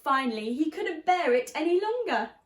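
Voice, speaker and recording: A woman reading a children's story aloud like a teacher, expressively and deliberately, stressing key words. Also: sits high, very animated. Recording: roomy, echoey sound.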